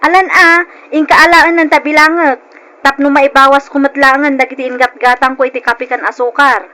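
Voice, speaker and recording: A high, pushy sister-in-law. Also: female voice, flat delivery, fairly quick, bright, forceful tone. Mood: insistent. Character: pushy, grasping sister-in-law, outwardly sympathetic. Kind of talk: old-time Ilocano radio drama acting. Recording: noisy old radio drama recording.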